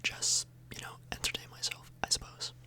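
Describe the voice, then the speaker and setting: A woman whispering softly and breathily in a casual, rambling ASMR video, often hesitating and correcting herself. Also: normal speed. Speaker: ASMR YouTuber, host. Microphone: microphone a little further away than usual.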